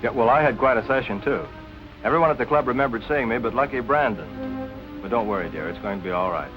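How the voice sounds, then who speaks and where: Fast-talking, earnest noir sweetheart played by a man. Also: medium pitch, over music, natural voice, low-quality recording. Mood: apologetic, tender. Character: earnest, reassuring male suspect comforting his sweetheart. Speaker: actor. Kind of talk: 1940s film noir acting.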